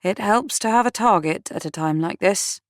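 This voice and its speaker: A woman's voice: medium pitch, normal speed, dry recording, clean studio sound, voice pushed up, natural voice. A woman voicing a weary, level-headed woman in an audiobook, matter-of-fact and subdued. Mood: tired.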